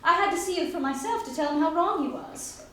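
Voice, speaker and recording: Woman acting a posh breezy young heiress, high voice, polite n arch, musical theatre, very echoey room. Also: fast, a bit bright. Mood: earnest, puzzled. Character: well-bred young heiress ballerina, poised and breezy. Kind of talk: musical comedy stage acting. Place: live staged reading before audience. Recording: echoey stage, distant mic, faint noise.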